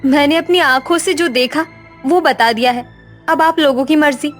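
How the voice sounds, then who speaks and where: A worried village woman in a cartoon story, voiced by a woman, speaks in a somewhat high voice. She sounds earnest and lamenting, with faint music in the background. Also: normal speed, a bit bright. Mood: worried, insistent.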